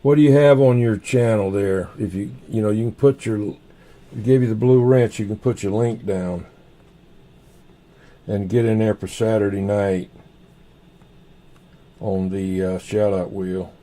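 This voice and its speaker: A man hosting a casual livestream, rambling in an unhurried way and half muttering to himself as he goes. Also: on the low side, fairly even intonation, mellow. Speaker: livestream host.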